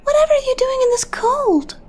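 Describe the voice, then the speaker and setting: A very high, soft and squeaky mare, shy and apologetic in an audio storybook. She hesitates and stammers gently, with a mellow tone that lilts up and down over faint music. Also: lively intonation, brisk. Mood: anxious welcome. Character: timid, sweet female pony, shy and apologetic.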